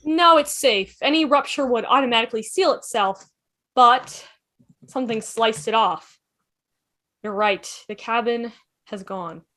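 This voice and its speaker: A woman playing a quick-thinking, reassuring time-travelling adventurer in a fan table read of a TV drama. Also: fairly high pitch, fairly bright tone. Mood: brisk, focused. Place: online video call.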